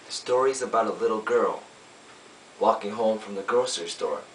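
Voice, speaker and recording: Man performing earnest male defense lawyer, deep pitch, oratorical jury plea, dramatic monologue, echoey room. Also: close to an everyday voice. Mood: solemn. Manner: oratorical, emphatic, deliberate pauses. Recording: roomy, distant mic, faint hiss.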